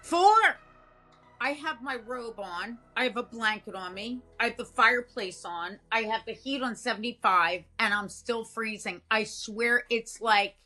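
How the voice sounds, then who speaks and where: A woman's voice: a little high, measured pace, sharp tone. A woman co-hosting over a video call who talks in an effusive, storytelling way about grooming. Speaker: co-host, dog groomer. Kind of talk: casual chat.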